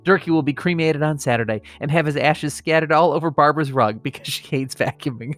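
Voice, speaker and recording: Male American comedy host, higher register, playing it solemn over background music, slips between reading and banter. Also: brisk, fairly bright tone, no room sound. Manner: mock-solemn reading that keeps breaking into suppressed laughter. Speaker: comedy podcast host reading mock obituaries. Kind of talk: reading scripted mock obituaries aloud, with casual banter.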